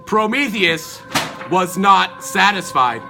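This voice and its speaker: A man's voice: high-pitched, lively intonation, slow, vocal fry, over loud music. A male student performer telling a myth as a dramatic story in an amateur recording.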